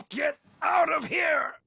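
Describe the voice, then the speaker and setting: An actor playing a tough, defiant soldier in a video game, gruff, gravelly and terse. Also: male voice, very high voice, pushed and bright, no room sound, studio quality, heavily acted. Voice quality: raspy, gravelly. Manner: terse, gritty. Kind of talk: dramatic video game voice acting.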